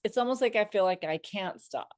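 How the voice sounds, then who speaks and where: Woman co-host, casual chat, quick to laugh, rambling phrasing, mid-pitched voice, dry close mic. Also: expressive, darkish tone, dry recording. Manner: laughs often, talks in loose run-on thoughts. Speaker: podcast co-host.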